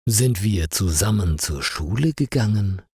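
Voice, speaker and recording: A man's voice: fairly low pitch, lively intonation, a little slow, a little mellow, husky, dry recording, clean studio sound, exaggerated voice. A man voicing an apologetic, absent-minded young male baker in an audiobook story. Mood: puzzled. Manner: apologetic, pondering.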